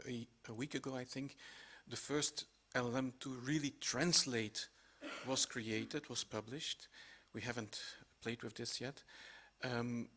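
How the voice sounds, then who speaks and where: A man speaking as a panelist, giving a spontaneous technical explanation in short, halting phrases. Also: mid-range voice, fairly bright tone.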